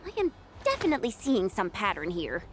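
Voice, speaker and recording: An actress voicing an upbeat, curious young woman companion in a video game, talking in a casual, expressive way. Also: medium pitch, a little fast, a little hiss or hum.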